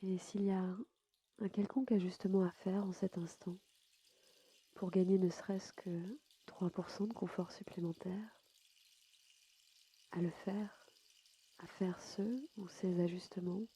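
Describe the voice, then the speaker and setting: A woman softly guiding a sleep meditation as a yoga nidra host, unhurried and soothing, with a breathy voice that sometimes nears a whisper. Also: medium pitch, fairly even intonation. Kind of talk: guided sleep meditation narration.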